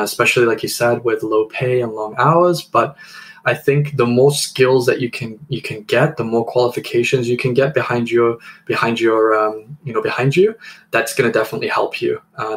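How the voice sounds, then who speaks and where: Reflective man co-hosting a teaching podcast. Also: mid-range voice. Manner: reflective, encouraging, measured. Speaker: podcast co-host, English teacher. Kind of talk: conversational podcast discussion.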